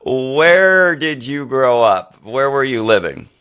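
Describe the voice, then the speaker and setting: A middle-aged man hosting a podcast interview, a former teacher who tells rambling, animated stories full of restarts. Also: mid-range voice, slow pace, smooth. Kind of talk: conversational interview.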